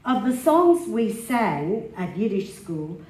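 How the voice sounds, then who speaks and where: A woman giving an expressive dramatic reading of a memoir to a live gathering. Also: mid-range voice, takes it slowly. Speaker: stage reader. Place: live literary event in a hall. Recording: echoey hall, faint background noise.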